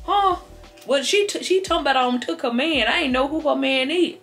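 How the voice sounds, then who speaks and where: Sassy, stammering American grandma for comedy skit played by a woman, folksy, highish voice, music underneath. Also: a little fast, a bit bright. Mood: defensive, sassy. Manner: stammering, repeats words, folksy. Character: rambling, sassy old grandmother telling tall tales. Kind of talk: improvised comedic storytelling. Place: living room couch, indoors. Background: background music.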